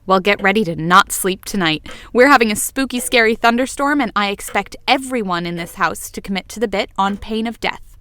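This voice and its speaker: A woman's voice: medium pitch, animated, a little fast, sharp tone. A woman playing an exuberant, bossy, playful friend with a theatrical, wordy manner in a fiction podcast. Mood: playful, grand.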